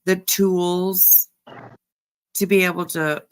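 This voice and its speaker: A woman host giving casual reaction commentary, musing in a halting, repetitive, emphatic way. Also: lowish voice, fairly even intonation, clear, resonant tone, rough recording. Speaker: host giving commentary on a true-crime case.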